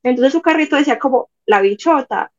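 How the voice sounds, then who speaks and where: A young woman from Colombia, a podcast guest chatting casually and telling animated stories. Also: high voice, brisk, dull audio. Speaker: podcast guest, Instagram page admin. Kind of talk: casual chat.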